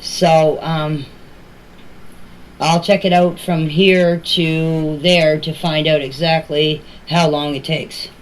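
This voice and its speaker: A woman's voice: deep voice, level tone, takes it slowly. Older woman, noisy voice message. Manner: rambling, plain-spoken, repeats herself. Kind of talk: casual personal voice messages to a group chat. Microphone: phone voice messages.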